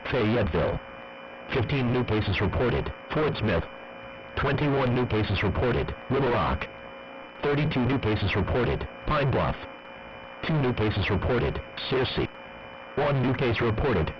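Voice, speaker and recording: A synthetic text-to-speech man reading a formal scripted emergency broadcast in a flat, measured, impersonal way. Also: mid-range voice. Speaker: emergency alert announcer. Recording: dull lo-fi audio, loud radio noise.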